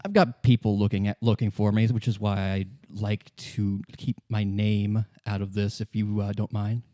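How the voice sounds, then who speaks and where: A man voicing a guarded, wry young woman in hiding, polite and halting, in a tabletop roleplay. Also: on the low side, flat delivery, mellow, no room sound, studio quality, close to an everyday voice.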